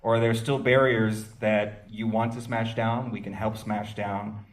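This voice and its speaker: A man's voice: fairly low pitch, flat delivery, unhurried. A man moderating a festival film panel, asking long hesitant questions with many fillers. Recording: distant mic with faint room noise.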